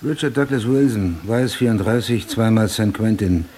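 An actor playing a driven, hard-boiled police detective in a radio drama, with a gravelly voice and terse, clipped phrasing. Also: male voice, lowish voice, normal speed, background noise, lightly acted. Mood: businesslike. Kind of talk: German radio drama acting.

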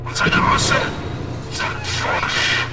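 A man's voice: extremely slow, far from the mic, music in the background. A man playing a solemn, fervent prophet reciting scripture in a radio drama, in a declamatory, incantatory manner.

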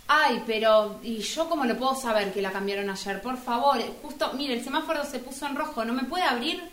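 A woman's voice: a little high, brisk, fairly bright tone, natural voice. A woman playing an insistent, exasperated bus passenger pleading to get off in an amateur radio drama. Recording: noisy amateur recording with vehicle ambience. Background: bus and vehicle ambience.

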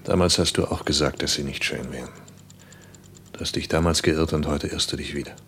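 An actor playing a tender, devoted lover in a radio play, talking in a gentle, coaxing, reassuring way. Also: male voice, rumbling low, steady tone, a little fast, slight background noise. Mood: tender, caring. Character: tender, devoted male lover caring for his woman. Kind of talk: intimate radio play acting.

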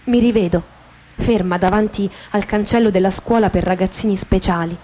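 A woman performs a dramatised memoir as radio theatre, her pitch in the middle range. She speaks in short, measured phrases with deliberate pauses, over musical accompaniment. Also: fairly even intonation, mellow, slight background noise. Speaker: actress reading a dramatised memoir. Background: guitar music behind the voice.

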